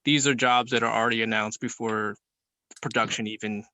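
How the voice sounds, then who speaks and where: A man's voice: fairly low pitch, steady tone, fairly quick, pushed and bright. A man in a casual group discussion, colloquial and noncommittal, restarting as he reasons aloud.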